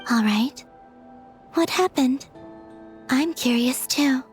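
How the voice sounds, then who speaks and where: A woman playing a calm, supportive, graceful young woman in a video game, speaking in a gentle, poetic way. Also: high-pitched, slow, faint music behind. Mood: calm, curious.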